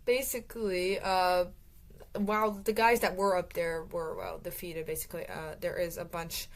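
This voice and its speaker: A woman talks through a book chapter by chapter in a loose, rambling way, full of fillers and restarts. Her voice sits a little low and sounds tired from talking so long. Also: steady tone, normal speed. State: voice getting tired. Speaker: book reviewer. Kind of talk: casual book review recap.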